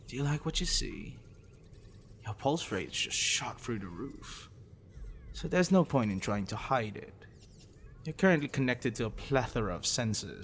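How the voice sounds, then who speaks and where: Man acting a gravelly, sadistic, teasing professor. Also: mid-range voice, slight background noise. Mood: teasing, clinical. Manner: condescending, teasing, deliberate, dropping into breathy whispers. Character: possessive sadistic male professor, obsessive, teasing, smugly intellectual. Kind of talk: ASMR roleplay, addressing the listener intimately.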